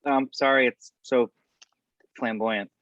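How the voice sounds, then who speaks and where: A man's voice: medium pitch, steady tone, slow, a bit bright, no echo, lo-fi sound. A man playing an awkward, morbidly earnest misfit supervillain in a script read-through.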